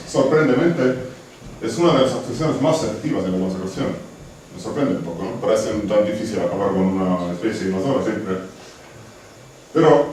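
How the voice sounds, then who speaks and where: A man's voice: fairly low pitch, a little mellow. A man from Estonia speaking with an Estonian accent, giving a conference talk in a hesitant, friendly way with frequent restarts. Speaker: conservation researcher giving a talk. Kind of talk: conference presentation. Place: lecture hall. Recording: very echoey hall from far off, faint noise.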